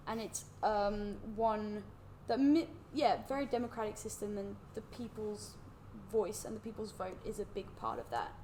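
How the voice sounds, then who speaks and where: A young woman from Switzerland talks through her views in a casual podcast chat, hedging with fillers. Her voice sits at a middle pitch, with a faint hiss behind it. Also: a little mellow. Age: young adult. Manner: explains at length, hedging with fillers. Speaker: guest, international student.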